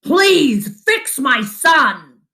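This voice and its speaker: A woman voicing a proud, demanding dragon father for a storybook, with emphatic, drawn-out declarations. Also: high voice, sing-song, sharp tone, exaggerated voice. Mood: exasperated. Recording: roomy home echo.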